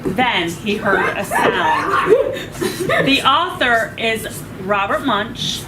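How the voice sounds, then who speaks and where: High, animated woman reading a storybook slowly. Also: noisy background. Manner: playful, often chuckling as she reads. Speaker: story-time reader. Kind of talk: animated children's picture-book read-aloud.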